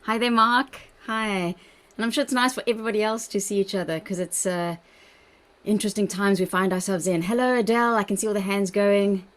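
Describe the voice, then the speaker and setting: A woman's voice: mid-range voice, steady tone, brisk. A woman with a South African accent, a dietitian leading an online wellbeing workshop on a video call in an encouraging, chatty manner. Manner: warm, encouraging, chatty with laughs. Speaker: workshop presenter, registered dietitian.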